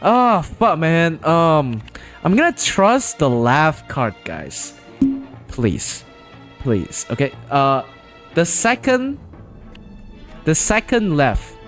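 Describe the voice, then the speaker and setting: A male streamer giving casual live-stream commentary, slangy and joking, reacting loudly as he plays. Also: a little high, huge pitch swings, very slow, pauses often, faint music behind.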